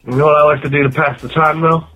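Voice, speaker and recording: A man's voice: on the high side, fairly even intonation, fast, narrow, tinny audio, soft background music. A sick man with a nasal voice leaving a rambling, mocking voicemail. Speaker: voicemail caller.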